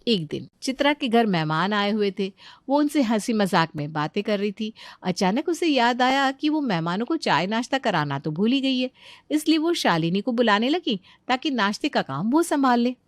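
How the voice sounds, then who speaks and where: A woman's voice: a little high, very animated, moderate pace, no echo, studio quality. A woman delivering scripted storytelling narration as the narrator of a family tale.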